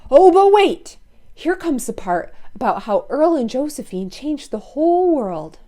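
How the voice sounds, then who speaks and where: A woman's voice: sits high, sing-song, a little slow, warm tone. A woman reading a children's story aloud expressively, with playful asides to listeners. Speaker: storybook read-aloud narrator.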